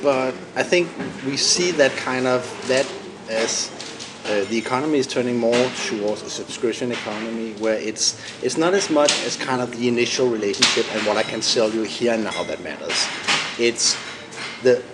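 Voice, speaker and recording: A man's voice: mid-range voice, normal speed. A man with a Danish accent answering interview questions, explaining his points steadily and often restarting phrases. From Denmark. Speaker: company founder and CEO, guest. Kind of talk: business podcast interview. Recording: noisy room.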